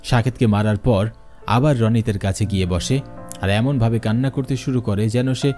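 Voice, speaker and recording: Low-voiced man narrating a horror tale. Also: normal speed, mellow, faint music behind. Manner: dramatic, suspenseful. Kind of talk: scripted horror story narration.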